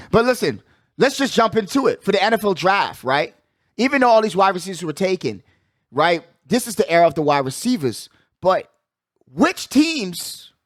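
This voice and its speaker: A man's voice: high voice, fairly bright tone, no room sound, clean studio sound. A man hosting a sports show, talking casually with strong opinions in a slangy, emphatic, conversational way, often repeating his phrases.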